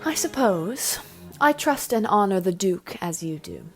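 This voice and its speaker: A woman acts a betrothed young lady in a period audio play. Her voice is mid-pitched and formal, romantic and gently teasing, with music behind it. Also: very animated, measured pace. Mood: curious. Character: devoted, gently teasing young noblewoman engaged to marry. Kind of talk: amateur period audio drama acting.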